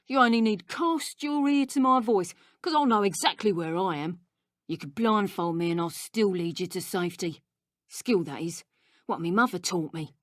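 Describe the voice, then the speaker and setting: A woman playing a brash, boastful dark wizard poacher in a video game, with a working-class English accent and a cheeky, colloquial way of talking. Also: mid-range voice, dry recording, clean studio sound, natural voice. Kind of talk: scripted video game enemy voice lines.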